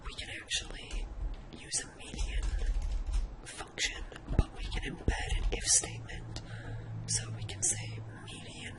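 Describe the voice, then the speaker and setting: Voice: measured pace, poor audio. Whispered ASMR spreadsheet tutor of indistinct sex. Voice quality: breathy, unvoiced whisper. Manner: informal, thinking aloud step by step. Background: computer keyboard typing.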